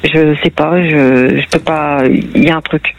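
A woman's voice: low-pitched, steady tone, a little slow, no room sound. A middle-aged woman from northern France telling her story on a radio phone-in, acting out the dialogue as she goes. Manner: chatty, tells story with quoted dialogue. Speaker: caller. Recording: muffled phone-in line on radio.

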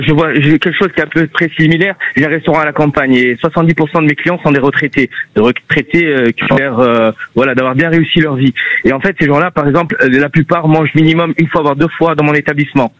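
A man calling a radio show, a restaurant owner talking rapidly and chattily with many fillers. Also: on the high side, fairly even intonation, a bit bright, no room sound, muffled recording. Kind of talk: call-in radio debate.

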